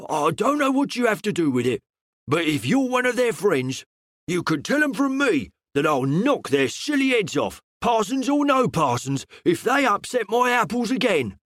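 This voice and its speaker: Male narrator voicing a high, stammering, furious tradesman. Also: big pitch swings, normal speed, fairly bright tone, dry recording, studio quality, heavily acted. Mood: angry. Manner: blustering, stammering.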